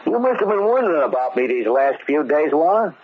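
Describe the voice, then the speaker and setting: An actor playing a brusque, slangy crook hiding from an enemy, talking informal tough talk in old radio horror style. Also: male voice, on the high side, sing-song, brisk, slightly rough. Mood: easygoing, bossy. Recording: tinny, noisy old radio recording.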